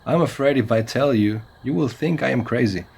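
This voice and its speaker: Man playing an exasperated Spanish-accented stranger, husky. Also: mid-range voice, a little fast, a little mellow. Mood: earnest. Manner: polite, slips into Spanish exclamations. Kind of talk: comedy audio drama acting.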